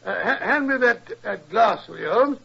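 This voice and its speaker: An actor playing a fussy, good-natured English gentleman with a British accent and a gravelly, blustery, self-repeating manner in a 1940s radio drama. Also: high-pitched, animated, normal speed, noisy background. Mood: flustered, practical. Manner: mumbling asides, repeats himself, blustery. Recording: muffled, band-limited old radio recording.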